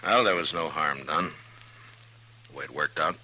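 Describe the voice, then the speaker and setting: An American actor playing a steady, authoritative western marshal in 1950s radio drama, speaking tersely and with command. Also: male voice, extremely low pitch, moderate pace, heavily acted. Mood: calm, easy. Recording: noisy old radio drama recording.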